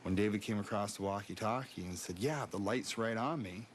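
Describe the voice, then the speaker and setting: A man recalling events in an interview, halting and repeating words as he goes. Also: on the low side. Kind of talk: interview recollection. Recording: faint background noise.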